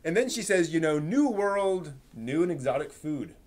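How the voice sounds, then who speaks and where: A man hosting a casual anime news chat with co-hosts, talking in a halting, agreeable way. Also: a little high, very animated, darkish tone. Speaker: host presenting anime news.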